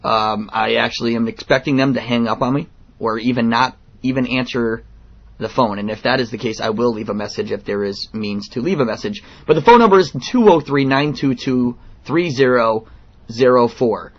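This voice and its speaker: A man hosting a radio show, giving opinions in a halting, blunt, indignant monologue. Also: mid-range voice, muffled recording.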